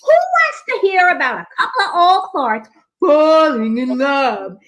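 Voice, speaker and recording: A woman's voice: high voice, sing-song, a little slow, a bit bright, exaggerated voice. A woman playing a cranky, cynical old woman who is blunt and sardonic, in an amateur play reading. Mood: scornful. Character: cranky, foul-mouthed, hard-of-hearing old woman, cynical.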